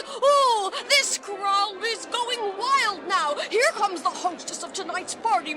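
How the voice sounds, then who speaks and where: A woman's voice: very high voice, full character voice. A woman playing a gushing, affected society reporter in a comic radio drama.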